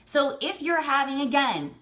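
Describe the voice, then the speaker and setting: A woman's voice: sits high, big pitch swings, measured pace, fairly bright tone. An American woman giving motivational advice to camera, blunt and emphatic, repeating phrases for stress. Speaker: vlogger giving personal advice.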